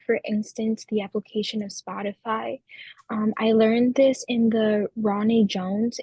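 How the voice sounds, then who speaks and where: A woman's voice: medium pitch, dark tone, husky, dry recording, low-quality recording. An eighteen-year-old woman explaining her views earnestly in a student podcast discussion. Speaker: student panelist.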